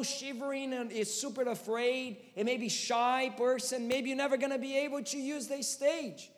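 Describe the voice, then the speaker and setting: Pastor, 30s, Brazilian Portuguese accent, high-ish voice, passionate preaching, hall echo. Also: male voice. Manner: impassioned preaching with rising rhetorical questions. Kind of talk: sermon.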